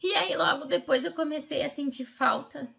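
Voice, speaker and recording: A woman's voice: high-pitched, moderate pace, creaky, fairly bright tone. Middle-aged woman telling her story. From Brazil. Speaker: Paralympic fencer, interviewee. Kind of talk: personal storytelling in a documentary interview.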